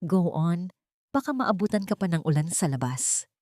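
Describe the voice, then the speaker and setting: A woman's voice: on the low side, big pitch swings, normal speed, a little mellow, no echo, studio quality. A woman voicing a smug, falsely sweet mistress who taunts with condescension in an audio drama. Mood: mock pity.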